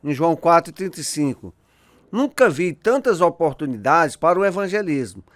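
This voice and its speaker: Man a fervent missions preacher, high voice, declaiming, dry studio sound. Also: big pitch swings, moderate pace, no echo. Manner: declamatory, exhorting. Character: fervent male missions preacher addressing believers. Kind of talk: dramatized sermon.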